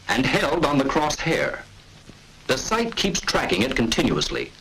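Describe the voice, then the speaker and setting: A man's voice: medium pitch, fairly bright tone, breathy edge, low-quality recording. An American man narrating a 1940s military training film in an authoritative, clipped, instructional manner, on an old film soundtrack.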